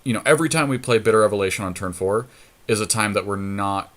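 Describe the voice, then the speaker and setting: Male podcast co-host, lower register, casual and deliberative talk about game strategy. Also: quick pace.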